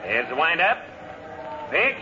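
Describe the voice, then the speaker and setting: A man playing an excited baseball play-by-play announcer in a 1950s radio comedy, dramatic and exclaiming. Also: fairly high pitch, slow pace, over a noisy crowd. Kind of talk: 1950s radio sports commentary acting. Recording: muffled, noisy old radio recording.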